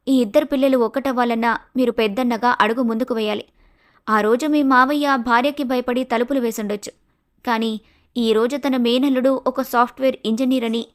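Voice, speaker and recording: A woman voicing a wise, composed young wife in a story, persuasive and measured. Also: on the high side, lightly acted.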